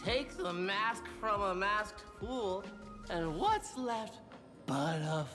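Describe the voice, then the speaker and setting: A woman's voice: medium pitch, very animated, slow pace. A woman voicing a mocking, theatrical tavern jester in a video game. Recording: loud game music behind.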